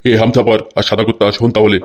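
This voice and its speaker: A man's voice: fairly low pitch, flat delivery, very fast, over loud music, lightly acted. A man playing a bossy, foul-mouthed crime boss and politician, scolding and commanding in a comic cartoon dub. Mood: stern.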